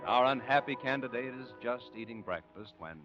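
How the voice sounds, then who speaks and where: A man announcing and narrating a 1950s radio show in smooth promotional style. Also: mid-range voice, a little fast. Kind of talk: scripted announcing. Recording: muffled 1950 broadcast with music.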